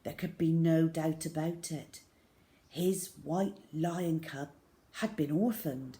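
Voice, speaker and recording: A woman reading a children's story aloud in a measured, expressive, dramatic way with hushed emphasis. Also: low-pitched.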